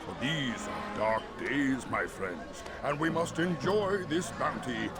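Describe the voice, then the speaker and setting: An actor playing a corrupt, grandiose merchant lord in a video game, speaking in a theatrical, oratorical manner. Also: male voice, medium pitch, lively intonation. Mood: grandly gracious. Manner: oratorical, theatrical, slow dramatic pauses. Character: corrupt, grandiose, bitter merchant lord addressing his guests. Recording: loud music and crowd behind.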